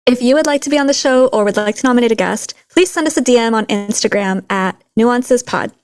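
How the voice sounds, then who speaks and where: A woman raised in a Texas border town, co-hosting a podcast in a relaxed, reflective way with light laughs. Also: a little high, brisk, clean tone, dry recording. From Philippines, raised in a Texas border town. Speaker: podcast co-host. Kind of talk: casual conversational podcast chat.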